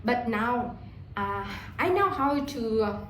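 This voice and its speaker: Vietnamese accent woman, slow, keeps repeating words, kinda high voice, echoey room. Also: lively intonation, fairly bright tone, slight background noise. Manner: halting, repeats words and restarts while searching for English. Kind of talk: casual spoken reflection on learning English.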